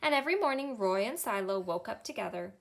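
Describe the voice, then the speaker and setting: Lively Canadian woman reading a children's book. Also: mid-range voice, fast talker, creaky. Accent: Canadian English. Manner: expressive storytime reading, bright and cheery. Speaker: children's librarian. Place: small indoor library room.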